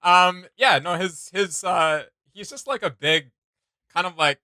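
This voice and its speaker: A man's voice: sits high, animated, measured pace, pushed and bright, dry recording, studio quality. A man hosting a casual reaction commentary, talking in an enthusiastic, rambling way. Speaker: reaction video host.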